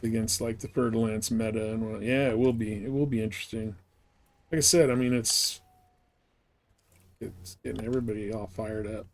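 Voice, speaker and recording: A man's voice: on the low side, fairly even intonation, moderate pace. A man livestreaming a video game, chatting casually and rambling as he thinks aloud while playing. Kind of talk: casual gaming stream chat.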